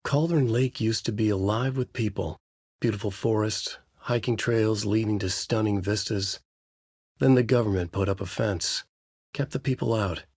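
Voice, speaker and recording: Husky, brooding novelist narrator. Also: male voice, on the low side, a little mellow, no room sound, clean studio sound. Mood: foreboding. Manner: measured, grave, dramatic delivery. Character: brooding, haunted male novelist narrating his dark thriller. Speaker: voice actor. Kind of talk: scripted noir horror narration.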